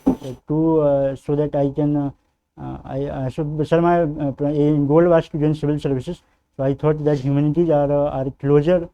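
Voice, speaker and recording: A young man from northern India, a native Hindi speaker with a North Indian accent, answering formal interview questions as a candidate in a halting, deferential way. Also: mid-range voice, muffled recording. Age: young adult. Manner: hesitant, halting, deferential, often says sir. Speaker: civil service candidate in mock interview.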